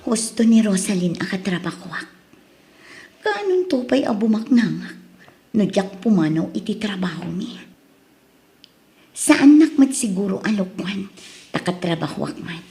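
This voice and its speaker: An actress with an Ilocano Filipino accent playing a hesitant young wife tempted by easy money in a radio drama. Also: female voice, mid-range voice, very animated, unhurried, long pauses, warm tone, faint music behind. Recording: roomy, echoing studio drama sound.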